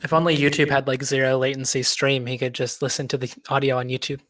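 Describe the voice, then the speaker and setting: A man's voice: mid-range voice, little pitch movement, quick pace, fairly bright tone, no echo. A man of thirty chatting casually on a livestream, laid-back with self-deprecating humor. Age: 30s. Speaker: guest, web developer.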